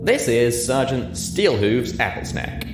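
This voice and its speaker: Man acting a female country pony, plain-spoken, exasperated, dry folksy delivery, raised pitch, roomy storybook reading. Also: big pitch swings, takes it slowly, music in the background.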